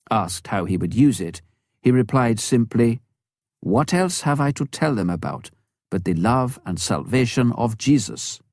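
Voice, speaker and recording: A man's voice: on the low side, a little mellow, no echo, clean studio sound. A man narrating a memoir from a script, as an audiobook reader.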